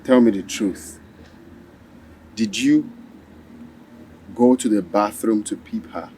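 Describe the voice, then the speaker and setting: A man's voice: fairly low pitch, slow, mellow, a little hiss or hum. An actor playing a stern king and father who lectures and scolds his family.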